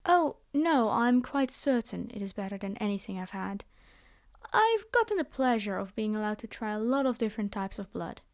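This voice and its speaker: A woman's voice: medium pitch, very animated, normal speed, dry recording, studio quality. A woman playing a haughty, possessive vampire noblewoman in an ASMR fantasy roleplay, speaking in a theatrical, condescending and formal way. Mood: fascinated. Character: haughty, possessive vampire noblewoman, polite yet menacing captor.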